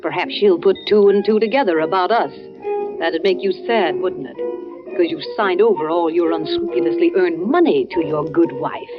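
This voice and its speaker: A woman's voice: fairly low pitch, sing-song, normal speed. An actress playing a glamorous, scornful woman taunting her lover in a radio drama. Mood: mocking. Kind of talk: 1940s-50s radio drama acting. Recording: muffled old radio recording, music behind.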